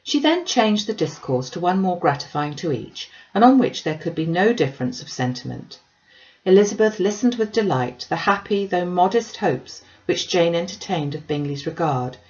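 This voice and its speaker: A woman's voice: fairly low pitch, lively intonation, moderate pace, a little mellow, highs cut off. A woman reading a classic novel aloud as scripted audiobook narration. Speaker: audiobook reader.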